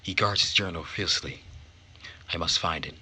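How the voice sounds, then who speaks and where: A man voicing an anxious, secretive young woman from a visual novel in a hushed, earnest, dramatic way. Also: deep voice, moderate pace, husky, exaggerated voice. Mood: determined, secretive.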